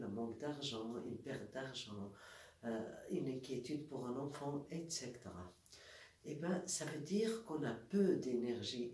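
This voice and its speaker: Slow-speaking woman with a cold. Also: very deep voice. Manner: slow, calm, demonstrating movements step by step. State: has a cold. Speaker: wellness instructor. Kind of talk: guided exercise instruction. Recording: echoey room, voice from far off, faint noise.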